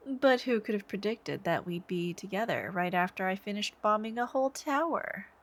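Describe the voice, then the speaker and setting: A woman acts out a lovestruck young female villain who stalks her prey in an intimate ASMR roleplay. She takes her time over each phrase at a medium pitch. Her delivery is coy and theatrical, sweet on the surface with menace underneath. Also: animated, fairly bright tone. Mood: nervous, smug. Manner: coy, unhurried, theatrical, lapsing into breathy murmurs.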